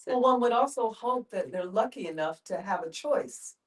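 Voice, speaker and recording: A woman speaking earnestly and haltingly in a reflective group discussion. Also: mid-range voice, animated, far from the mic, low-quality recording.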